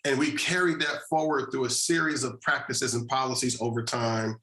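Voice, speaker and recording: A man from New Jersey, a social justice advocate and guest, making his case in a measured, deliberate way and repeating key phrases. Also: medium pitch, steady tone, sharp tone, roomy sound, poor audio. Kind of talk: earnest policy discussion.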